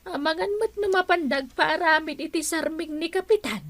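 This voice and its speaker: A woman's voice: extremely high pitch, normal speed, fairly bright tone, no echo. A woman playing a gossipy village neighbour in a comedic radio drama.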